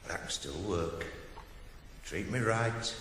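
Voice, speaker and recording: An echoing, extremely low voice in long reverb over noise: a ghostly, suffering old beast of burden in a radio play. It speaks gravely, worn down and haunting. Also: male voice, expressive, slow pace, a bit bright, exaggerated voice. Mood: mournful, suffering. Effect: heavy echo. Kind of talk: 1980s radio drama acting. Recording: cavernous reverb, noisy archive radio recording.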